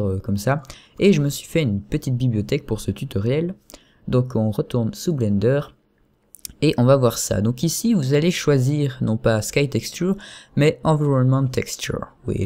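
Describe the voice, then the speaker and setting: Chatty young man with a mellow voice. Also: medium pitch. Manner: chatty, hesitant, many fillers and self-corrections. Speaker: software tutorial host. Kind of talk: casual screen-recorded tutorial.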